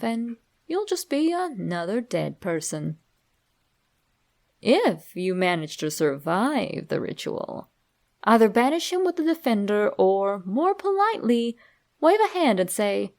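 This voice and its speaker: A woman narrates a creepy story as if speaking right to you. Her delivery is slow and dramatic, with a strong fry in a mid-pitched voice. She reads deliberately, like a storyteller laying out instructions. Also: darkish tone. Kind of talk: scripted creepypasta narration, addressed to the listener.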